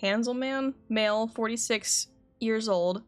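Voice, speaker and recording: A woman's voice: a little high, measured pace, faint music behind, lightly acted. An actress playing a terse, detached woman giving forensic testimony in a game, clipped and matter-of-fact. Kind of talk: video game voice acting.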